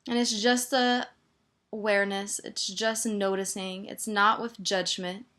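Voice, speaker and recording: A woman meditation teacher gently guiding a body scan, soothing and leaving pauses between her instructions. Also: medium pitch, fairly even intonation.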